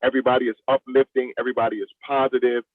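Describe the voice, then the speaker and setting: A man being interviewed as a play director, answering in a halting way, repeating words as he searches for the next one. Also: mid-range voice, little pitch movement. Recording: roomy, thin radio-like lo-fi sound.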